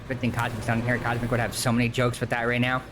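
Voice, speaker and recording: A man's voice: mid-range voice, monotone, fast talker, noisy background. A man in his early forties chatting casually and informally as he streams a game. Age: early 40s. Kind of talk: casual chat while gaming.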